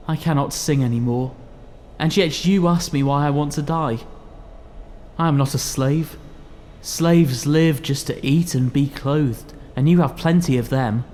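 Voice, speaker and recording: Brief: despairing young male poet, acted by a man, audio drama, higher register, melodramatic, proud, faint background noise. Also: measured pace, mellow, lightly acted. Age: young adult. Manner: earnest, declamatory, melodramatic. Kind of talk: theatrical audio drama acting.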